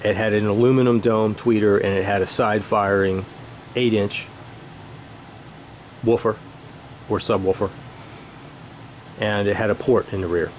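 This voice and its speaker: A middle-aged man reminiscing casually as an enthusiast, speaking in halting short bursts. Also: lowish voice, low-quality recording. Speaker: audio hobbyist presenting own video. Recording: steady room noise, air conditioning hum.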